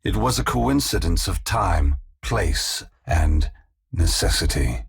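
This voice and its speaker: Deep, gravel-throated weary god. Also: male voice, animated, full character voice. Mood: grave, regretful. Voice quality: gravelly, husky. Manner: slow, measured, philosophical, formal. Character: weary, compassionate male god, grave and solemn. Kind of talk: video game voice acting, dramatic dialogue.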